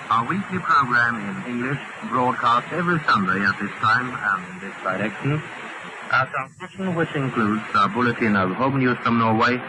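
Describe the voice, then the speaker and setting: A man's voice: medium pitch, noisy background. A man reading a formal, scripted vintage radio announcement over an old shortwave broadcast.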